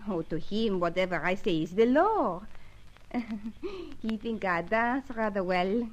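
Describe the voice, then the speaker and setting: An actress playing a flirtatious, jealous cabaret dancer with a French accent, speaking coquettish broken English in a melodramatic radio serial. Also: female voice, medium pitch, expressive, a little slow, natural voice. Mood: coy, matter-of-fact. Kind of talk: old-time radio serial acting. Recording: dull lo-fi old radio recording.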